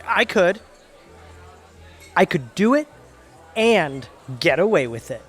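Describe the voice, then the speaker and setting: A man's voice: high-pitched, sing-song, takes it slowly, slightly rough, soft background music, some chatter behind, full character voice. A man playing an overconfident, easily flustered lawyer in an audio drama, defensive and hesitant. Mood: boastful.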